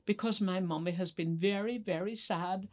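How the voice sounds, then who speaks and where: A woman's voice: medium pitch, normal speed, darkish tone, lightly acted. Woman reading as an earnest little boy. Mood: earnest, pleading. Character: polite, earnest little boy writing to Santa. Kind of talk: children's storytelling.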